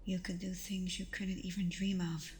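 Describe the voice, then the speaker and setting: A woman playing a protective, anguished vampire girlfriend in an ASMR roleplay, speaking in a soft, breathy half-whisper with earnest pauses. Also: on the low side, little pitch movement, a little hiss or hum, natural voice. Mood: solemn, reluctant. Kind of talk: ASMR roleplay, close intimate acting.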